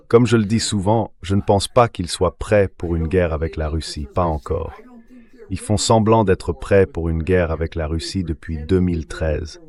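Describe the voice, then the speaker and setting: A man delivering dubbed political commentary as an interview guest, reasoning in a measured way and posing rhetorical questions. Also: sits low, darkish tone.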